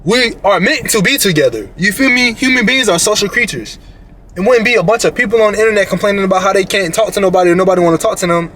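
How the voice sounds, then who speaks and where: A man with a slightly high voice rambles in slang-heavy casual talk, speaking directly to his audience. A faint background noise sits under his voice. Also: a bit bright. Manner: slangy, rambling storyteller who addresses the audience. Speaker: commentary co-host. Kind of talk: casual reaction commentary.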